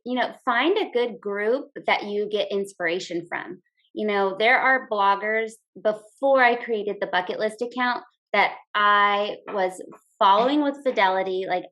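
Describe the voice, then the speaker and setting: A woman who is a teacher and blogger, a podcast guest speaking in a relaxed, upbeat way, full of "like" and "you know". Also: medium pitch, poor audio. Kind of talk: casual interview chat.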